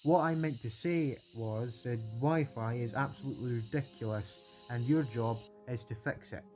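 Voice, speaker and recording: A man's voice: mid-range voice, moderate pace, darkish tone, lightly acted. A man playing an eager, chatty male train traveller in a story, earnest and exclamatory. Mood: matter-of-fact.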